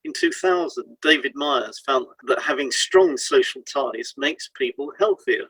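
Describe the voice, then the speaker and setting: A man's voice: mid-range voice, lively intonation, unhurried, fairly bright tone, slightly rough, no room sound, low-quality recording. A man reading a scripted informational piece as a podcast host, in an explanatory way.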